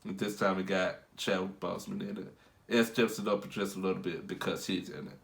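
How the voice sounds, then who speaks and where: A man's voice: low-pitched, fairly even intonation, a little fast, creaky. A congested young man who is under the weather, rambling casually through a movie review with many fillers and restarts.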